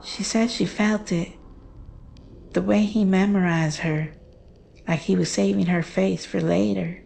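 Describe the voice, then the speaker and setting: A woman playing a grieving, haunted daughter who recounts her dread in a horror drama, halting and deliberate. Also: medium pitch, steady tone, creaky, a little mellow. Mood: haunted, hushed. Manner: halting, deliberate, with weighty pauses. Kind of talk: slow dramatic horror monologue.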